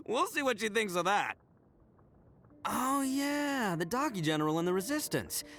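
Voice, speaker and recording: An actor playing a brash, goofy young horned oni gang leader in a video game, boisterous, boastful and slangy, with theatrical swings. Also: male voice, high-pitched, expressive, measured pace, a bit bright, a little hiss or hum, heavily acted. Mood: defiant, boastful, then warm, musing. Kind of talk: video game character voice acting.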